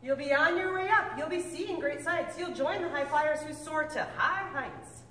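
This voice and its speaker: A woman reading a picture book aloud with lively, expressive delivery, now and then stumbling and correcting herself. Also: normal speed, a little hiss or hum. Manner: expressive storybook reading with big pitch swings. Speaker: reader. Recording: echoey room, mic at a distance.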